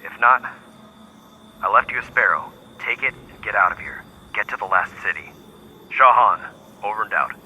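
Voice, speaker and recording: An actor playing a dutiful, protective veteran soldier in a video game, talking tersely and with determination. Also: male voice, fairly low pitch, moderate pace, long pauses, bright, forceful tone, a little hiss or hum, low-quality recording. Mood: grave, resolute.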